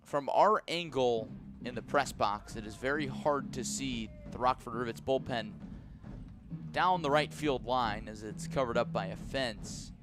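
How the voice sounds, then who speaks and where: A man does live baseball play-by-play for radio from a stadium press box. His voice sits in a middle register for a male speaker. He narrates in a measured, descriptive way, raising his delivery as each pitch comes in. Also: music in the background. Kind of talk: live radio sports commentary.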